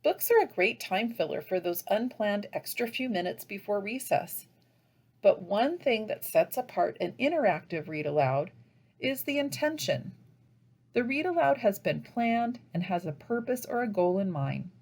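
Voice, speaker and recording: An American woman delivers a scripted training presentation for teachers. Her pitch moves up and down in a lively way around a medium register, and she explains points in a measured, instructive manner.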